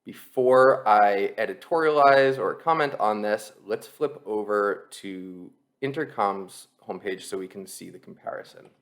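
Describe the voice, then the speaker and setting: A man's voice: fairly low pitch, gravelly. A man presenting an explanatory screen-share tutorial as an instructor, talking conversationally and explaining things step by step.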